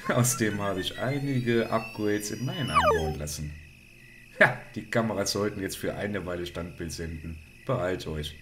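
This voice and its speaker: A man acts out a gruff grown-up who takes charge in a parody detective radio play. His voice is fairly low and confident, under loud music. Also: sing-song, moderate pace. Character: Cully, gruff take-charge adult ally of boy detectives. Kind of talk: parody detective audio drama acting.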